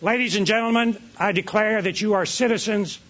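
A man's voice: sits high, fairly quick. A man speaking as a court official in a formal ceremonial proclamation. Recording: dull audio with clear background noise.

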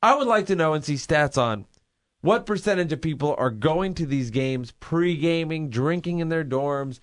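A man co-hosting a loose radio talk show, ranting with building excitement and a few swear words. He circles back over his points and repeats phrases, and his voice sits a little higher than most men's. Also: moderate pace.

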